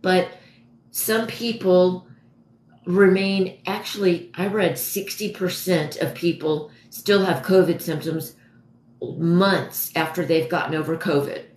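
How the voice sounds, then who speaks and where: A woman in her forties with a hoarse voice, chatting casually and haltingly as she answers viewers on a livestream. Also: fairly low pitch, fairly even intonation, darkish tone. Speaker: livestream host. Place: dim living room at home, indoors. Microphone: phone propped a step or two away. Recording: echoey room, phone at a distance.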